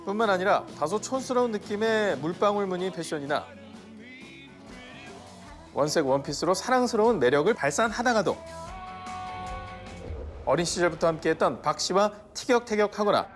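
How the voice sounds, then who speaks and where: A man co-hosting a film show as critic, giving informative commentary in a steady reading style. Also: high voice, moderate pace, pauses often. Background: background music.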